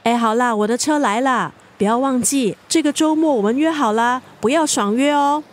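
A woman acts a bossy best friend in a radio drama. Her high voice carries a Singaporean accent, and she talks with playful, probing wryness. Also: normal speed, close to an everyday voice. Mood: bossy, caring. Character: teasing, nosy, bossy female best friend. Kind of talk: naturalistic radio drama dialogue.

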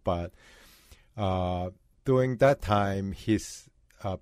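Guy, lowish voice, chill chatty storyteller, dry studio sound. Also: a little mellow, no room sound. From Hong Kong. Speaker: chef and restaurant owner. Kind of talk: radio interview.

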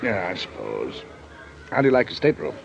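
An actor playing an older businessman, measured, courteous and guarded, in old-time radio style. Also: sits low, wildly swooping pitch, gravelly, exaggerated voice. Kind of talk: 1940s radio acting. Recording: muffled, noisy old radio recording.

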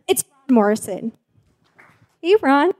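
Chatty woman with slightly high voice. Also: lively intonation, measured pace, darkish tone. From Mexico. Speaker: host and journalist. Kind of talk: casual live panel hosting.

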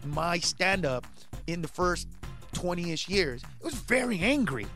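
Male stand-up comic in his 40s, born in Honduras, interview guest; fairly high voice, lively, shouts for punchlines. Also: unhurried, faint music behind. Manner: animated, rising to shouts when acting out bits. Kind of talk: casual interview chat.

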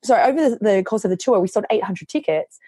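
A woman author, hoarse from losing her voice, chatting casually on a podcast and telling lively stories, often quoting herself. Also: medium pitch, fast talker, no echo, studio quality. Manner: animated storytelling, quotes herself.

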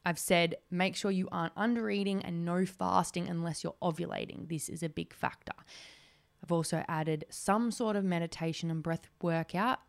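A woman's voice: on the low side, fairly even intonation, normal speed, vocal fry. A woman in her twenties hosting a solo podcast, talking in a chatty, rambling way with lots of "like". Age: 20s. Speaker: podcast host.

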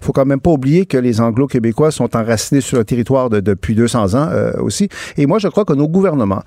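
A man's voice: mid-range voice, animated, fast talker, warm tone, no room sound, studio quality. A man with a Quebec French accent arguing emphatically as a political commentator in a radio debate, often restarting his sentences. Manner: emphatic, argumentative, many restarts.

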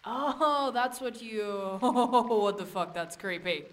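An actress playing an irreverent, skeptical and wary young woman adventurer in an audio drama, talking in a casual, sardonic, expressive way. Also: a little high, slow pace.

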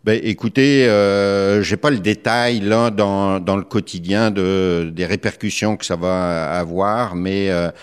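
A middle-aged man from France with a French accent, answering interview questions as a politician in a measured, hesitant, careful way. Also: deep voice, steady tone, smooth. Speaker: politician, member of the Quebec legislature.

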